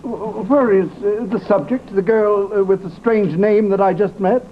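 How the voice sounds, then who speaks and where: An actor playing a fussy, credulous, dithering professor in an old radio comedy drama, hesitant and stammering. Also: male voice, high voice, normal speed, lightly acted. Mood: puzzled. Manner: hesitant, stammering, fussily excitable. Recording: muffled, noisy old radio recording.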